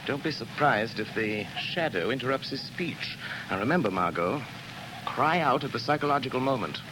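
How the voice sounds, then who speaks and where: An actor playing a calm, commanding gentleman detective in an old radio drama, speaking in a measured, authoritative way. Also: medium pitch, lightly acted. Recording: noisy old radio recording.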